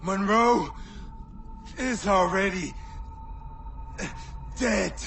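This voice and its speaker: A bitter assassin warrior in a game, scornful and menacing. He speaks slowly in a high, gravelly voice. Loud music runs under every word. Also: far from the mic, exaggerated voice. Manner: taunting, scornful. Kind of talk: video game cutscene acting. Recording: game audio with loud score.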